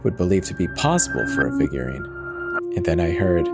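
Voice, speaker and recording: A man's voice: low-pitched, big pitch swings, brisk. A man reading a first-person horror story as a dramatic scripted narration. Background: music.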